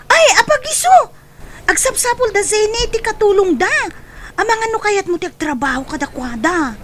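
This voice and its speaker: A woman acts an envious, pleading young cousin in a comedy drama. Her voice is high and bright, leaping expressively through exclamations. Faint ambient noise sits behind it. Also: animated, moderate pace. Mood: chatty. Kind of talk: Ilocano comedy drama acting.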